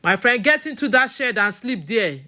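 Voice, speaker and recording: A woman's voice: on the high side, expressive, moderate pace, sharp tone, pitched above the performer's own voice. A woman voicing a stern, bullying male farm owner in a storytelling, with a Nigerian accent and a scolding manner in Pidgin. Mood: scornful, angry.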